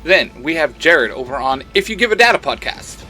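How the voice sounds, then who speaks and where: A man hosting his own podcast, reading out credits and giving shout-outs. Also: fairly high pitch, very animated, a little fast, faint music behind, low-quality recording. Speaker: podcast creator and host.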